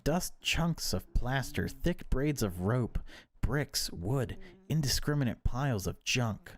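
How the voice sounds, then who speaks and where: A man reading horror game text aloud as dramatic narration, in deliberate, broken phrases. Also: on the low side, darkish tone. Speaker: gaming video creator reading a visual novel aloud.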